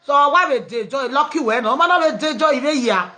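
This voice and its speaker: A Nigerian woman with a high, bright-edged voice hosts a live online show. She slides easily between pidgin and other tongues, chatting with callers and laughing often. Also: animated, brisk. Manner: mixes pidgin, English and local languages, laughing easily. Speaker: host of an online live program. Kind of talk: casual live chat with callers.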